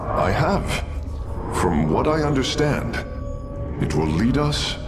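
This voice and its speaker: An actor playing a scholarly, earnest alien engineer in a sci-fi game, speaking precisely and formally, with deference. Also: male voice, medium pitch, very animated, slow pace, music in the background. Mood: earnest, eager. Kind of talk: dramatic sci-fi game cutscene acting. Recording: voice under very loud score.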